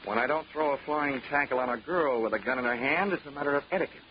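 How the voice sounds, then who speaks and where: A man's voice: mid-range voice, fast, slight background noise. A man narrating as a hard-boiled insurance investigator in 1940s radio drama, wisecracking in a dry, clipped manner. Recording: dull old radio recording with music.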